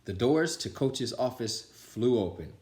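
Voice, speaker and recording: A man reading a children's picture book aloud with an animated, theatrical delivery. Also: medium pitch, measured pace. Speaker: storytime reader. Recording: roomy, echoing sound.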